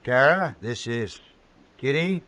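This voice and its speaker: An actor playing a kindly, hospitable frontier saloon keeper with an affable, slightly hesitant manner, in 1950s radio style. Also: male voice, mid-range voice, animated, takes it slowly, pushed and bright. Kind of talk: 1950s radio western acting.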